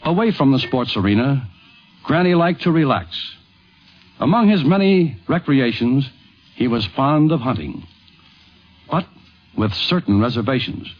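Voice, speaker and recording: A man hosting with polished, measured, scripted narration in a 1950s radio documentary. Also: medium pitch, very animated. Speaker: host, narrator. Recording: dull 1950s radio recording.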